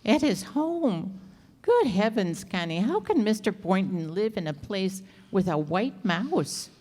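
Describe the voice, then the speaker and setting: A long hall echo surrounds the voice. A woman plays a chatty, sympathetic landlady in old-time radio comedy style, with a medium-pitched voice and a fond, earnest way of talking. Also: very animated, slow pace, mellow. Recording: reverberant hall stage recording.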